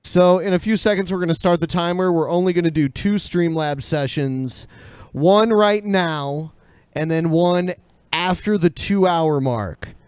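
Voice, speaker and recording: A man's voice: fairly high pitch, a little mellow, clean tone, no echo. An American man chatting casually as a podcast co-host. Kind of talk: casual chat.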